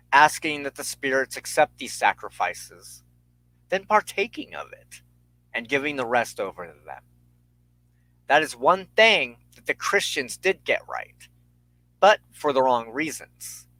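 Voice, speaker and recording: A man hosting a spiritual talk in a solemn, sermon-like style, speaking in measured, formal and ceremonious phrases. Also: medium pitch, sharp tone, no room sound, low-quality recording. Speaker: host of a weekly spiritual talk show.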